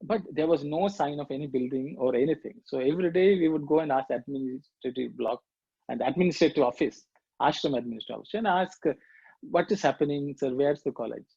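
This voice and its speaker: Middle-aged sri lankan guy telling his story, slightly high, husky voice, muffled dry recording. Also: mellow, no echo. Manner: reflective, halting with fillers and restarts. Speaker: guest speaker. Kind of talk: personal testimony talk.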